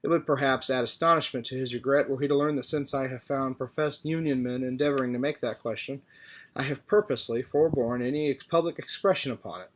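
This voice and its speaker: A man reading a formal historical political speech aloud in a measured, deliberate way. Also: medium pitch. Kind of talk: formal oratory read aloud from a text.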